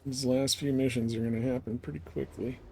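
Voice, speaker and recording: Gravelly man casually streaming a game. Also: medium pitch, level tone, fairly quick, mellow, slight background noise.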